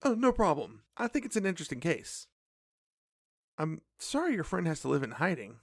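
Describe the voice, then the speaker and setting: A polite earnest cafe owner in a fantasy podcast drama, really creaky, kinda high for a man. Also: sing-song, moderate pace, no echo, studio quality, full character voice. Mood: polite, curious. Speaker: voice actor in a fiction podcast. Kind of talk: audio drama dialogue.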